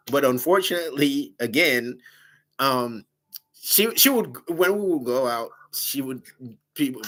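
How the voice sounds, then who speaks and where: A man on a dating talk show telling a story about his ex in a casual, animated way, with a big, loud voice. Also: mid-range voice. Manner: animated, laughing, quotes himself in raised voice.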